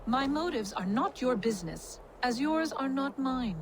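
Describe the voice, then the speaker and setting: A woman's voice: sits high, expressive, unhurried, slight background noise. A woman playing a shrewd, self-serving schemer in a video game, blunt and mocking as she bargains. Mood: scornful, businesslike. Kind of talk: video game cutscene dramatic acting.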